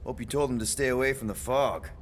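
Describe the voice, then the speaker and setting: A man's voice: mid-range voice, quick pace, a little hiss or hum, natural voice. A man playing a wisecracking young leader of misfit space heroes, talking casually and sardonically in a video game cutscene. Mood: earnest.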